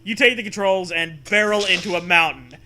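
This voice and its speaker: A man co-hosting a comic story read-aloud, swearing freely and excitably between narration and casual banter. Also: sits high, fairly quick, slight background noise. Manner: profane, excitable. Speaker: co-host reading a comic story.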